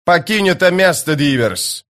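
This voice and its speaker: A man's voice: fairly high pitch, animated, measured pace, a bit bright, voice pushed up. A man voicing a towering inhuman archer in a fantasy audiobook, declaiming in a commanding manner. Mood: thundering command. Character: very tall inhuman tusked male archer, commanding protector. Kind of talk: audiobook fantasy character dialogue.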